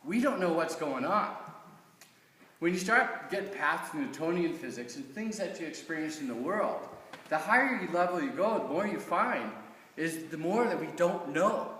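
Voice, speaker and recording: A middle-aged man with an American accent gives an informal, earnest personal testimony to a congregation in a halting, conversational way. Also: on the high side, sharp tone. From Kansas, United States. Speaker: church member giving a stewardship talk. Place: large reverberant room, speaking from off the mic. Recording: echoey church hall, distant mic.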